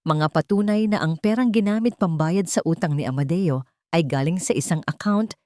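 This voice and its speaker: A woman's voice: on the low side, normal speed, warm tone, dry recording, studio quality. A woman reading a scripted dramatic story as its narrator.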